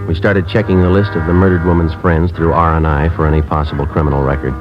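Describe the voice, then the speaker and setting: Stoic police sergeant for vintage radio drama: low, brisk, matter-of-fact delivery. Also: male voice. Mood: deadpan, businesslike. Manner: clipped, deadpan, matter-of-fact. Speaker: narrator and lead police detective. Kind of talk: 1950s radio police drama acting and narration. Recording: dull old radio recording with music.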